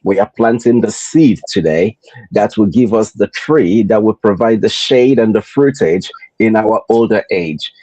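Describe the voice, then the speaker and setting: A man from Nigeria with a Nigerian accent, hosting a motivational podcast in a deliberate, repetitive rhetorical style. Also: fairly low pitch, darkish tone, dry recording, low-quality recording. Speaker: podcast host.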